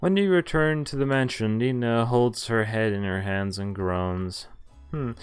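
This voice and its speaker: A man's voice: medium pitch, measured pace, clear, resonant tone. A man reading a visual novel aloud as a streamer, casually, stumbling now and then and dropping in asides. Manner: stumbles over read lines, interjects asides. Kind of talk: casual playthrough read-aloud commentary. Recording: music behind the voice. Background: game music.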